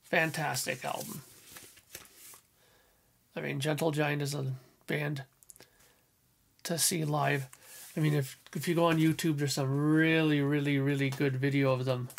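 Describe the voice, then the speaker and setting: A man with a hoarse voice casually talking through his record collection in a small home room, clearing his throat often. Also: on the high side, flat delivery, a little slow, long pauses, slight background noise. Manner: enthusiastic, frequent throat clearing. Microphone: headphones on, webcam or desk mic.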